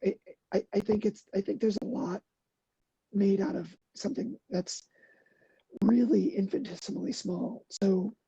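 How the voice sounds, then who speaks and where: A middle-aged woman speaks earnestly in a relaxed interview, halting with fillers and restarts. Her voice is very dark and husky with gravelly fry and a slight hoarseness. The sound is dry and a little muffled. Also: mid-range voice, dry recording. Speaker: podcast guest, endurance athlete. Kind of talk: casual interview talk.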